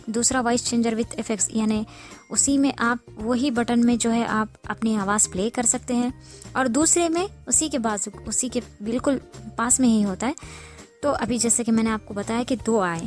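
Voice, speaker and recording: A woman with a fairly high voice walks listeners through a phone app. She explains informally, step by step, often repeating each instruction. Some music plays behind her. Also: darkish tone. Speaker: tutorial presenter.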